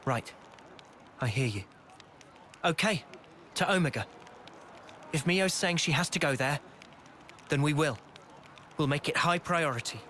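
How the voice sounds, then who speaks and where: Young male leader for a game: slightly high, crisp-edged, earnest, resolute, considerate. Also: lively intonation, a little slow, pauses often, sharp tone, a little hiss or hum. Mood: understanding. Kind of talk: video game cutscene acting.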